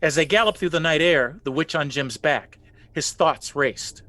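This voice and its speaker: A man narrating a spooky folk legend for a radio play with measured, theatrical storytelling. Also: fairly high pitch, pushed and bright, dry recording. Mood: dramatic. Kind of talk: scripted dramatic narration.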